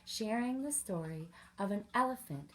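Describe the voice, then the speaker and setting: A woman's voice: medium pitch, animated, moderate pace, slight background noise, low-quality recording. A woman reading aloud to young children as a story time host, expressively and with little asides to the listeners.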